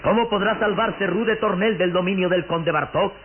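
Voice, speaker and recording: A man's voice: high voice, normal speed. A man narrating a radio drama from a script in a dramatic style. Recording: tinny lo-fi radio recording, loud music.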